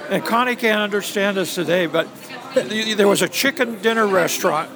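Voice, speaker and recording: A man in his seventies from New England, casually recalling his time as a ride guide, halting with many fillers. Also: sits high, a crowd in the background, poor audio. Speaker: interviewee, former theme park ride guide. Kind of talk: casual reminiscing interview.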